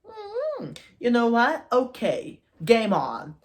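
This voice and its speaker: Woman playing a cheeky young fairy-tale girl, raised pitch. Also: wildly swooping pitch, takes it slowly, exaggerated voice. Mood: sassy, playful. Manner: sassy, modern slangy delivery.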